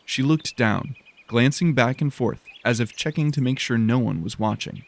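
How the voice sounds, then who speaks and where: A man's voice: mid-range voice, moderate pace. A man narrating a scripted science fiction audio drama as its storyteller.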